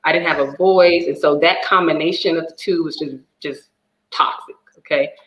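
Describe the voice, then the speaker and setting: A woman's voice: low voice, fairly even intonation, normal speed, fairly bright tone, reverberant, low-quality recording. A woman telling her personal story as a divorce coach guest, earnest and emotional. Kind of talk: personal testimony in a talk show.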